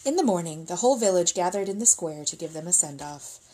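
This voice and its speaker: A woman's voice: lowish voice, expressive, fast, dark tone, slight background noise, poor audio. A middle-aged woman reading a picture book aloud expressively as a storytime reader, indoors at a table.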